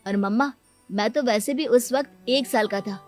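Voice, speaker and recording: A woman's voice: on the high side, moderate pace, soft background music, close to an everyday voice. Woman playing a blunt, headstrong young son. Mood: defiant. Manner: firm, argumentative. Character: headstrong grown son in his twenties, blunt. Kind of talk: cartoon moral-story acting.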